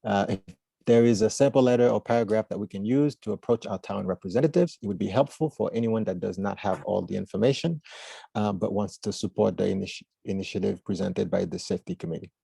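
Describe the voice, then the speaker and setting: Halting man with a low, creaky voice. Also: dark tone, no room sound. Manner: halting, searching for words. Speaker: roundtable moderator. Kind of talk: unscripted moderating.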